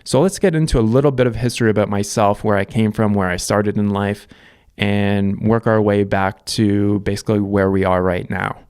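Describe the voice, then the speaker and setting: A man in his thirties from Canada, talking through his life story to camera. His voice sits a bit low and sounds smooth and resonant, recorded close and dry. He speaks reflectively and loosely, with plenty of ums and restarts. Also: steady tone, normal speed, darkish tone, dry recording. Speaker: vlogger. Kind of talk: casual life-story monologue.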